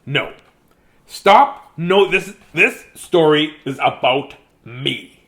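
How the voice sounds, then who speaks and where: Man playing an exasperated, wildly animated storybook narrator. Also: on the high side, extremely slow, full character voice. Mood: indignant. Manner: whiny, insistent, repetitive protests. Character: exasperated, self-important storybook narrator hogging his story.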